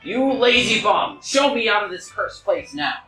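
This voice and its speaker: Man acting a pompous monopolist, swooping pitch, echoey room. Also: expressive, a little slow, bright, forceful tone, exaggerated voice. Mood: angry, threatening. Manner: pompous, dismissive, exclaiming. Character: haughty older male monopolist, condescending and easily outraged. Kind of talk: theatrical table reading. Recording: echoey room with music behind.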